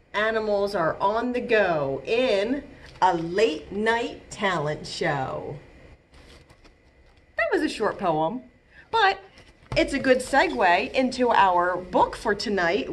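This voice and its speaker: Expressive American woman reading to children, unhurried. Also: on the high side, slight background noise. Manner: expressive, clearly paced delivery for young children. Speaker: children's librarian and storytime reader. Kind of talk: reading a picture book aloud to children. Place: small bright indoor room.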